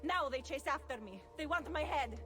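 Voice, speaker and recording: A woman's voice: high-pitched, expressive, moderate pace, noisy background. A woman voicing a haughty, paranoid noblewoman in a video game, imperious and theatrical. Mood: frantic, imperious. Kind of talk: video game cutscene acting. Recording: music behind the voice.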